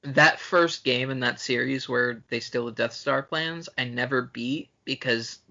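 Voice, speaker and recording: Sharp, gravelly-voiced man chatting. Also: medium pitch, a little slow, lo-fi sound. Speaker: podcast co-host. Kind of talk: casual fan podcast chat.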